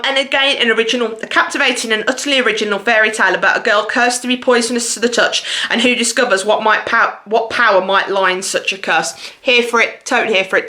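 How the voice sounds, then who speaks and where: Bright-voiced, gushing woman vlogger. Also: medium pitch. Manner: gushing, effusive, expressive. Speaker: book vlogger. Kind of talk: casual chatty video monologue about books.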